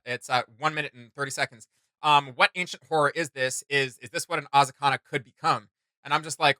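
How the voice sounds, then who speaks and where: A man's voice: a little high, bright, forceful tone, clean tone, no room sound. A man hosting a reaction video, chatting casually about game lore in an emphatic, rambling, questioning way. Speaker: reaction channel host. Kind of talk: casual chat, discussing game character lore.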